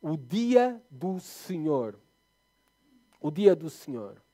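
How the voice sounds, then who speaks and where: A young man with a European Portuguese accent preaches in a high, animated voice. He swings between loud, emphatic lines and soft, low asides as he tells a story. The sound is dry and close to the mic. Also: extremely slow, dry recording. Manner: animated storyteller, voice rising and dropping sharply for emphasis. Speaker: pastor. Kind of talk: sermon with personal storytelling.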